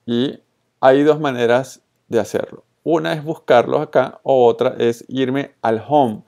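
Male tutorial presenter, Colombian-Venezuelan accent, relaxed dark tone, mid pitch, informal and spontaneous, drops in English tech words. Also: a little slow, vocal fry. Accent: Colombian-Venezuelan Spanish. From born in Colombia, raised in Venezuela. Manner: informal, mixes in English terms. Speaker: social media tutorial presenter. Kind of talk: casual screencast tutorial, spontaneous narration.

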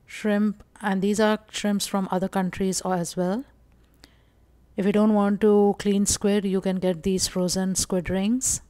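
A woman from India narrating a casual shopping walkthrough vlog, calm and explanatory with frequent pauses and fillers. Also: mid-range voice, dry recording. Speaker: vlogger.

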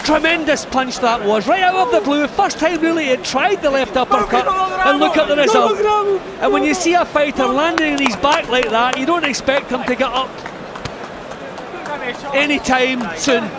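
A man's voice: very high voice, moderate pace. A man giving expert co-commentary at a boxing match, analyzing the boxers' tactics and the referee's calls. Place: arena ringside. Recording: broadcast with loud crowd noise. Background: loud arena crowd.

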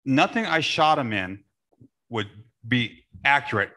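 A man's voice: medium pitch, expressive, unhurried, pushed and bright. A middle-aged man chatting casually on a panel as an airgun shooter. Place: panel table with several people at an expo.

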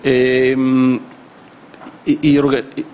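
A man in his fifties, born in Chile, giving a speech at a panel in a conference hall, halting and searching for words into a table mic. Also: medium pitch, level tone, darkish tone. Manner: halting, many fillers and restarts. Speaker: guest speaker. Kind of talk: formal speech, partly improvised. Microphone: gooseneck table mic.

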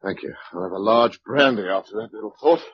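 An actor playing a blunt, sardonic, down-to-earth survivor in a British radio drama, with a British accent and colloquial way of talking. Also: male voice, fairly low pitch, a little fast, slightly rough. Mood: uneasy, wry. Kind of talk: mid-century British radio drama acting. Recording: dull, noisy old radio recording.

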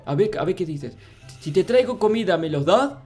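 Man playing a high-pitched, stammering naive little fellow. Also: lively intonation, moderate pace, a little mellow. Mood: earnest explaining. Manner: stammering, repetitive, pleading. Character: naive, panicky, childlike little fellow, pleading and devoted. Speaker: gameplay roleplay YouTuber. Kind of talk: improvised comic roleplay over video game play. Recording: music behind the voice.

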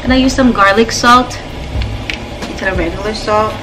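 A young woman talking casually while she cooks in her home kitchen, relaxed and unhurried with plenty of fillers. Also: mid-range voice, noisy background. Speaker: cooking vlogger. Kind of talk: casual cook-along narration. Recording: loud background music.

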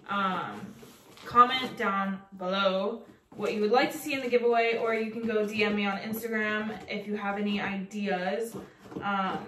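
A woman's voice: medium pitch, background noise. Young woman chatting from a distance. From Mexico. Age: young adult. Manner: chatty, enthusiastic about plants. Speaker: plant vlogger. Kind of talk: casual chat. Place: bedroom, seated on bed. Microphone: far camera. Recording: roomy echo, distant from mic.

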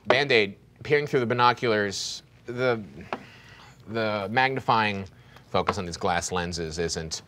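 Deep-voiced man narrating a horror game. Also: measured pace, slight background noise. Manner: descriptive, drops to a hushed tone for grim scenes. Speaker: game master.

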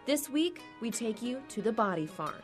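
A woman's voice: on the high side, sing-song. Woman reporter narrating a news story over music. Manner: measured, deliberate voice-over delivery.

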